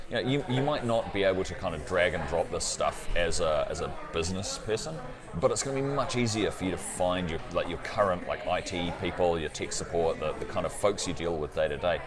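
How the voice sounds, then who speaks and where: Deep-voiced man explaining tech, crowd behind. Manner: explanatory, tags sentences with "right?". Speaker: cloud company partner guest. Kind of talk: conference interview. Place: busy conference floor. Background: crowd chatter.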